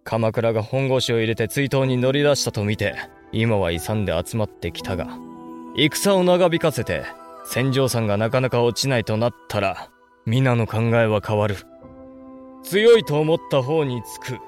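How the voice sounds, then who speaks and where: A male actor plays a cynical samurai commander in a period radio play. His voice sits at a medium pitch, blunt and matter-of-fact, with faint music behind it. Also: lively intonation, moderate pace, natural voice. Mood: cynical, reflective. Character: cynical, worldly samurai lord, an elder brother. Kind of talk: Japanese historical audio drama acting.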